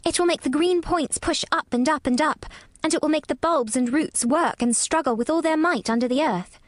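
A high, dry-recorded voice of a young girl in a storybook, performed by a woman. She is frank and inquisitive, speaking with emphatic eagerness and wonder. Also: brisk, no room sound, natural voice. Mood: eager, delighted. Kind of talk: audiobook character dialogue.

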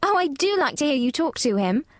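A woman's voice: high-pitched, expressive, a little fast, dry recording, clean studio sound, voice pushed up. A woman voicing an eager, earnest young English girl in a storybook, with proper, careful child's diction. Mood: delighted.

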